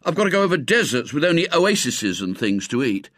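A man's voice: fairly high pitch, very animated, a little fast, fairly bright tone, no room sound, clean studio sound, pitched above the performer's own voice. A man voicing an earnest, stubborn schoolboy adventurer from a storybook, stolid and self-important. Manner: earnest, stolid, boyish slang.

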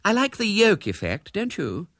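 A girlish young British woman, acted by a man, with a high and very varied pitch. She is chatty and fashion-minded, a character from a storybook. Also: wildly swooping pitch, normal speed, gravelly, a bit bright, no echo, studio quality, exaggerated voice.

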